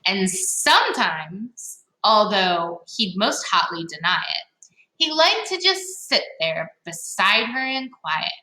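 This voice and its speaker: A woman reading a storybook aloud to children, with expressive, dramatic emphasis and playful asides. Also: medium pitch. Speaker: read-aloud host for children. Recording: echoey, roomy sound.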